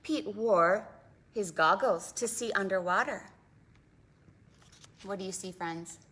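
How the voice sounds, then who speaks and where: A woman hosting a preschool storytime, reading aloud in an animated, sing-song way with dramatic emphasis and questions for the children. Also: medium pitch, takes it slowly, husky. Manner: prompts listeners with questions. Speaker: children's librarian and storytime host.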